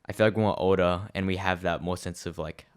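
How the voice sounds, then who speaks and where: A man's voice: deep voice, steady tone, quick pace, smooth. A young man co-hosting a podcast, chatting casually and reflectively, rambling with many fillers. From Australia. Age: young adult. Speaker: podcast co-host. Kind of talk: casual chat.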